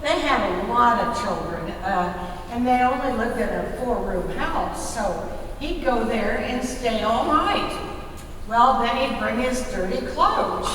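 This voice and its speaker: A woman's voice: fairly high pitch, a bit bright. A woman performing conversational, folksy, chatty storytelling in a staged reading. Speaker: readers theater performer. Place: large hall, live stage reading. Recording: noisy echoey hall from far off.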